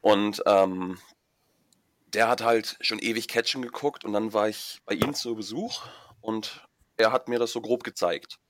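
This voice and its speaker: Low-voiced male guest on a dry call line. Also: fairly even intonation, fairly bright tone, dry recording. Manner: hesitant, halting sentences. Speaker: interview guest, wrestler. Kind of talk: casual interview answers. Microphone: remote call.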